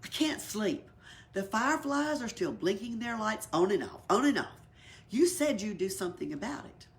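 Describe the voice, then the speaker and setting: A woman's voice: medium pitch, big pitch swings, normal speed. A woman voicing a grumpy, demanding male gecko who complains insistently in a storybook reading. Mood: insistent complaining.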